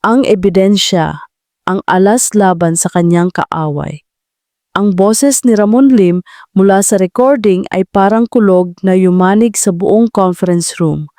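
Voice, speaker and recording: A woman's voice: medium pitch, unhurried, warm tone, no echo, clean studio sound. A woman storyteller reading a scripted audio drama in a dramatic narrating style.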